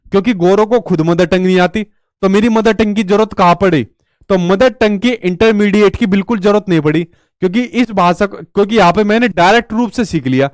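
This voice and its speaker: High-voiced chatty male teacher. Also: no echo, studio quality. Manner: chatty, asks rhetorical questions, tells stories. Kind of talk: exam-prep lecture.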